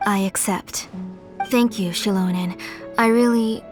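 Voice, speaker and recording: A woman's voice: mid-range voice, steady tone, a little slow, lightly acted. An actress voicing a devoted, self-blaming auntie in a video game, with an earnest, motherly manner. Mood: solemn, grateful. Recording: prominent game music behind voice. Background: background game music.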